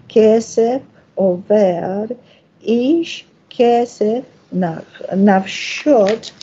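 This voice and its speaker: Woman reading scripture, husky mellow voice. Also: mid-range voice. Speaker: scripture reader. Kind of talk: liturgical reading of scripture and blessings. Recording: dull, muffled lo-fi audio.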